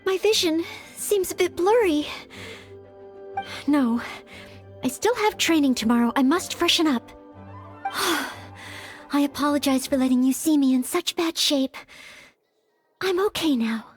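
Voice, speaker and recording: A young maid with knightly dreams from an anime video game. Her voice is strikingly high, sweet and girlish. She speaks with earnest politeness and an anxious, hesitant edge, over light music. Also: expressive, unhurried, pauses often. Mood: weary, apologetic.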